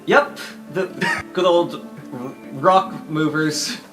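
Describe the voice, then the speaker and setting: A man's voice: on the high side, slow pace, fairly bright tone. A man plays an awkward young would-be dwarf recruit, bluffing along in a tabletop game. He is hesitant and eager to agree. His voice sits in a roomy space over faint music. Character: nervous student posing as an agreeable dwarf recruit.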